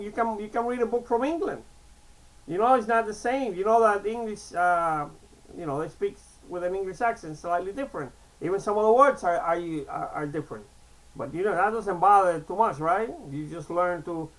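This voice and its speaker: A man's voice: high voice, fairly bright tone, rough recording. A man in his sixties from Cuba, talking in a casual, rambling vlog monologue and often checking in with "okay?". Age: 60s. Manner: chatty, digressive, repeats "okay?" and restarts often. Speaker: vlogger.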